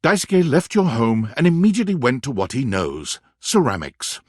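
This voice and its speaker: An actor playing a wry, dramatic male storyteller, narrating a romance game in a theatrical, expressive way. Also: medium pitch, moderate pace, no echo, studio quality. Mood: wry, playful. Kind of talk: scripted second-person narration for a dating game.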